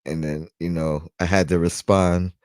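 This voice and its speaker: An easygoing man co-hosts a casual fan podcast in a very low voice. He talks in a relaxed way and keeps checking in with "you know what I mean." The recording is dry and close. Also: normal speed, dry recording. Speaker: podcast co-host.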